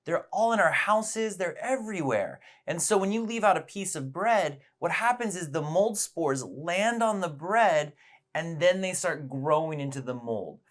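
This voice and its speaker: A man's voice: a little high, animated, unhurried. A man presenting a science lesson as storytelling, explaining things simply and with emphasis.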